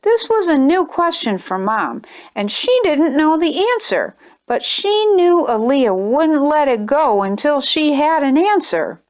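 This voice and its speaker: A woman hosting a children's storytime, reading a picture book aloud with expressive, sing-song storytelling. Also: high voice, unhurried. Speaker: storytime host.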